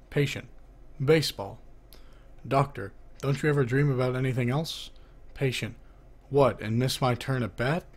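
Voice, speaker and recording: Slow, husky man reading jokes. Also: mid-range voice. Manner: reads each speaker's label before their line; lifts his voice for the asking half of knock-knock jokes. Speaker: reader of a joke book.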